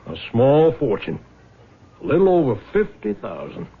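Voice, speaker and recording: Gravelly, wry older father. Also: mid-range voice, very animated, moderate pace, full character voice. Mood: confiding, rationalizing. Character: wry older father, honest man rationalizing a windfall. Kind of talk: radio drama acting. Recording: muffled old radio broadcast.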